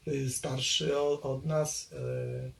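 A man's voice: medium pitch, flat delivery, very slow, creaky, a bit bright. A man, recalling his childhood in an oral history interview, hesitating often with fillers and restarts. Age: 60s.

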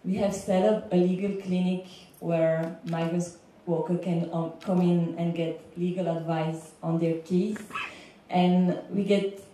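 A young woman with a Belgian accent giving an earnest, halting semi-prepared speech on a panel as a legal consultant. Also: on the low side, little pitch movement, dark tone. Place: indoor lecture room panel. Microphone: handheld microphone, recorded by far camera. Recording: echoey room, distant, faint background noise.

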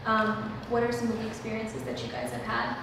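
A young woman of eighteen hosts a casual discussion with earnest warmth, dropping plenty of "um"s as she goes. Her voice sits a bit high and comes from a distance, in a roomy space with a long echo tail. Steady background noise sits under her speech. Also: brisk. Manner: earnest, many "um" fillers. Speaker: host. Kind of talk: casual discussion, leading a prayer. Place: church room, small group at a table. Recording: echoey room, heard from a distance, background noise.

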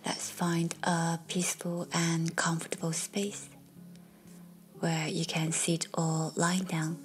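Slow, low, hushed woman guiding meditation. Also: completely flat delivery. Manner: soft, soothing, with long pauses. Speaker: meditation guide. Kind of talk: guided meditation narration. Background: faint music.

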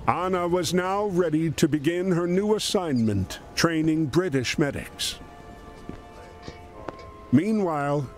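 A man narrating a scripted dramatic story in a measured, solemn way. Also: a little high, darkish tone. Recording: music under the voice. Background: background music.

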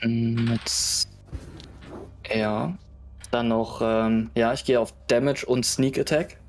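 A man playing a tabletop role-playing game, describing his character's moves in a hesitant, halting way. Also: mid-range voice, fairly even intonation, a little mellow. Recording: faint music behind the voice. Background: faint music.

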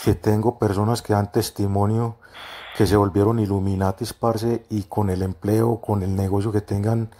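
A man's voice: lowish voice, little pitch movement, moderate pace, warm tone. A Colombian man with a Medellín paisa accent, chatting as a prank caller in coarse, jocular slang full of swearing. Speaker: prank caller, show host. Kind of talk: casual slangy phone prank chat.

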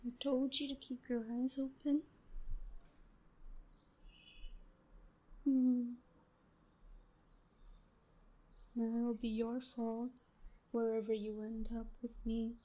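A woman's voice: a little high, flat delivery, unhurried, warm tone, poor audio. A woman playing a shy, obsessive, lovesick yandere schoolgirl in an audio roleplay, cooing and giggling breathily as she draws out her phrases. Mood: hushed, coaxing.

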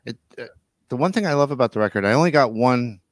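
A man's voice: mid-range voice, animated, fast, dry recording. A middle-aged man hosting a podcast, chatting casually with guests over a video call into a close microphone. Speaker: podcast host. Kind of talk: casual interview chat. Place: home room, video call.

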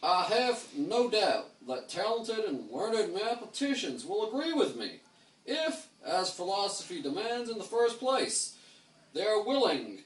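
High-voiced, lively young man reading aloud. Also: unhurried. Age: young adult. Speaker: reader taking turns in an informal group reading. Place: small bare room indoors. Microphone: camera mic from a few metres. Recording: echoey room, distant mic, faint hiss.

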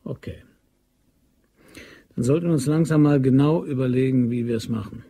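Insistent, gravelly young schemer. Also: male voice, mid-range voice, normal speed, dark tone. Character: pushy, determined young man plotting a robbery. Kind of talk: German crime radio drama acting.

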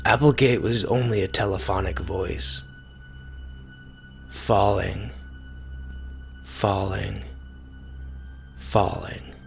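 A man giving a grave, deliberate dramatic reading of a science fiction short story, pausing for effect. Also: on the low side, fairly even intonation, creaky. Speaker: amateur story reader. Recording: music behind the voice. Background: music.

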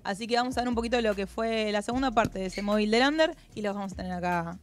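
A woman's voice: medium pitch, slight background noise. A woman in her thirties with an Argentine accent, hosting a TV interview in a studio with a handheld mic, gushing and enthusiastic. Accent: Argentine Spanish. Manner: gushing, enthusiastic, quick-talking. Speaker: TV host. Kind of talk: casual TV interview. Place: TV studio set.

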